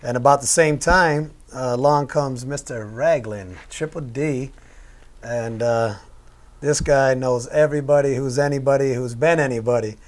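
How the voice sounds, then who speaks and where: A man's voice: mid-range voice, normal speed. A man hosting a local community TV talk show, introducing his crew in a loose, rambling way. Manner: easygoing, rambling with fillers. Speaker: host.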